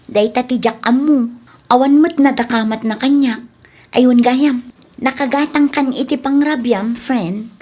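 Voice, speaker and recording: A woman's voice: sits high, a little slow, a little mellow. A young woman playing a polite, thrifty student boarder in a radio comedy drama, speaking conversationally. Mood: matter-of-fact.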